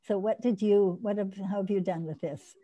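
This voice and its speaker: A woman's voice: medium pitch, steady tone, normal speed, dark tone, lo-fi sound. An older woman hosting an online course on a video call, explaining eagerly and restarting her sentences often. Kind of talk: teaching and taking questions on a video call.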